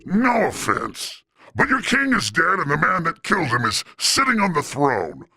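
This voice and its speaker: An actor playing a brash, blunt shark warrior with a street-tough manner in a video game. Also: male voice, a little high, wildly swooping pitch, moderate pace, slightly rough, no echo, clean studio sound, full character voice. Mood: defiant. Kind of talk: video game character acting. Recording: under loud game music.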